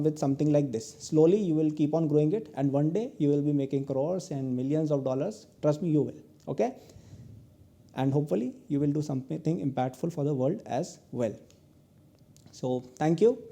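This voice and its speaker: Earnest young Indian man with a dark tone. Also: a little high. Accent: Indian English. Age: 20s. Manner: earnest advice, tags many lines with "okay?". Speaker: guest lecturer, entrepreneur. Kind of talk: recorded online lecture.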